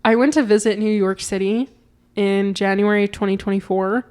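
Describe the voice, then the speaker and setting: A woman co-hosting a podcast in casual chat, upbeat and quick to agree as she asks rapid-fire questions. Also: mid-range voice, fairly even intonation, a little mellow. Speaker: podcast co-host.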